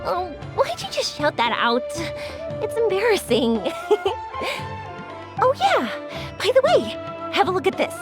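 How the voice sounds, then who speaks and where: A woman playing a cheerful, sincere young woman in an anime video game, expressive and bubbly. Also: very high voice, measured pace, heavily acted. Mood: affectionate, cheerful. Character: cheerful, warm-hearted young woman fireworks maker. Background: music.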